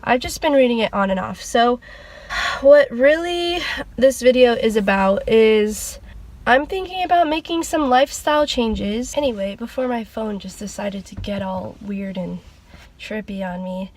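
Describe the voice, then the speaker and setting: A woman's voice: a little high, unhurried, a little mellow. A young woman vlogger with a congested, nasal voice from a cold, chatting casually at a loose, rambling pace with lots of fillers. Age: young adult. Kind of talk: casual sit-down chat.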